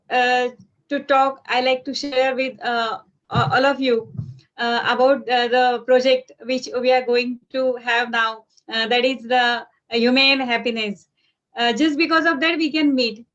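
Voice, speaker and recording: Indian woman, teacher, high pitch, careful, filler-heavy, dull video call audio. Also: fairly even intonation, takes it slowly, fairly bright tone. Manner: frequent uh fillers, earnest and careful. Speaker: secondary school teacher. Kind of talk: self-introduction on a video call.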